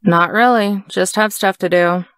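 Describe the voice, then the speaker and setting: A woman's voice: medium pitch, fairly even intonation, a little slow, fairly bright tone, dry recording, studio quality, close to an everyday voice. An actress playing a blunt, unimpressed young woman traveler in a visual novel, speaking curtly and matter-of-factly. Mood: blunt, skeptical. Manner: curt, matter-of-fact.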